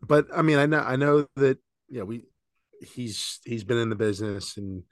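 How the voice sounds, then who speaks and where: A man's voice: medium pitch, moderate pace, dry recording, studio quality. A man co-hosting a wrestling podcast, talking casually and hesitantly, with many ums and restarts. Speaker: podcast co-host.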